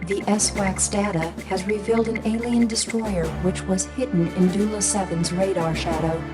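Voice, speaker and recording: An actress playing a stern military commander, giving a formal, authoritative mission briefing to starfighter pilots. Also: female voice, mid-range voice, steady tone, moderate pace, close to an everyday voice. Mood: formal, commanding. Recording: loud music under the voice.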